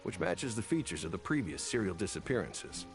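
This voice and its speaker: A man voicing a brisk, formal investigator in a game, speaking in a matter-of-fact way. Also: lowish voice, very animated, creaky. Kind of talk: game voice acting. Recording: game audio with music behind.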